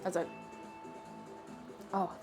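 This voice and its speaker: A woman's voice: extremely slow, faint music behind. An Irish woman with a sniffly cold, chatting casually with viewers while cooking on a live stream. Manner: chatty and animated, thanks viewers warmly.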